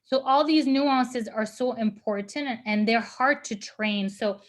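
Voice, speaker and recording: A woman's voice: on the high side, unhurried. A woman from Lebanon, a guest speaker answering questions on a video call, thoughtful and searching for her words. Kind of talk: virtual panel Q&A answer.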